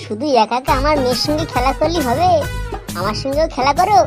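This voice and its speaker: A woman's voice: high-pitched, animated, fast. A woman playing a nosy, bawdy middle-aged village mother-in-law in a comedy cartoon, scolding and suggestive. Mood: suggestive, bossy. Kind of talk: Bengali comedy cartoon dialogue. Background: background music.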